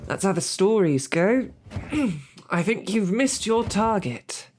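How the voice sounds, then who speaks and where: A woman's voice: medium pitch, big pitch swings, slow pace, a little mellow, a little hiss or hum. A woman playing a snarky, defiant captive knight loyal to her princess, speaking in formal, chivalrous phrases with dry sarcasm in an audio drama. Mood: wry, indignant. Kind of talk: scripted audio roleplay drama.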